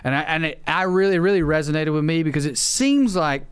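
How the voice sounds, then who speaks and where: A man's voice: on the high side, fast talker, clear, resonant tone, no room sound. A man co-hosting a podcast in casual conversation, earnest and unafraid to swear. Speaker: podcast co-host. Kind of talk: casual chat.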